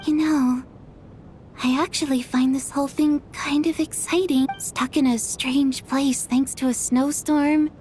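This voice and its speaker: A very high, eager young woman. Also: unhurried, soft background music. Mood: excited. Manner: bright, excitable, chatty. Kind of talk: game voice acting.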